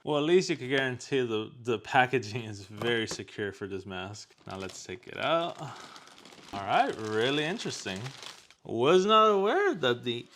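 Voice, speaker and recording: A man's voice: mid-range voice, animated, moderate pace, fairly bright tone, a little hiss or hum. A man chatting casually as he hosts an unboxing and product review.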